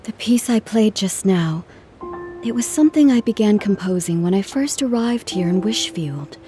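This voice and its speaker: An actress playing a gentle, earnest traveling musician in a video game, speaking politely and in measured phrases. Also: female voice, mid-range voice, expressive, faint music behind, close to an everyday voice. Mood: grateful, gentle. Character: gentle, earnest female traveling musician, composed but careworn. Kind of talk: video game cutscene acting.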